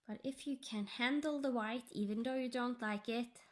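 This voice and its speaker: A Norwegian woman, English her second language, chatting softly about her cross-stitch project and often dropping into a whisper. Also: a little high. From Norway. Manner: slow, soft-spoken, drifting into whispers. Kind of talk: casual tutorial chat.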